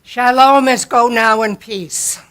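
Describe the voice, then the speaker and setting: A woman speaking at a church service in a slow, deliberate, homespun way, with what she calls a low voice. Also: fairly even intonation. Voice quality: describes her own voice as low. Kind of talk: gentle spoken reflection and hymn lead-in. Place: church sanctuary.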